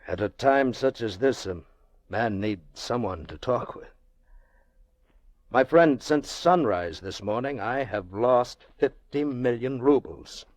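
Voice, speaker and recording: An actor playing a wealthy, anxious, ruthless gambler in old radio drama dialogue, speaking with grave emphasis. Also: male voice, mid-range voice, animated, takes it slowly, no echo. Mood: troubled, agitated. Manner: grave, emphatic theatrical delivery.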